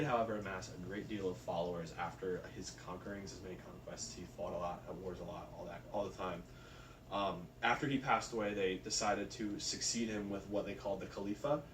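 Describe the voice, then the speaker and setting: A man presents a research report informally, with loose, casual phrasing. His voice sits a bit low. He is recorded at a distance in an echoey room with some faint noise behind him. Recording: roomy, distant mic, faint background noise.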